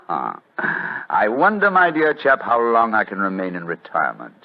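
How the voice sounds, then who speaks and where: An actor playing a keen, courteous, retired English detective with a British accent, clipped and urbane, in 1940s radio drama style. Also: male voice, on the low side, wildly swooping pitch, measured pace, heavily acted. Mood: amused admiration. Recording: muffled old radio broadcast.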